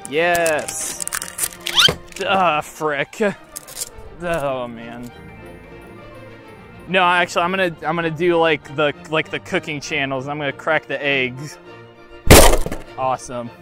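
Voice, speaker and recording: A young man with a fairly high voice rambles through a toy unboxing, cracking deadpan jokes and stumbling over fillers. He's recorded on a lapel mic outdoors by a field. Soft music plays faintly underneath. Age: young adult. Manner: rambling, self-mocking, halting with many fillers. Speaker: YouTuber unboxing toys. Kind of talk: jokey deadpan unboxing vlog.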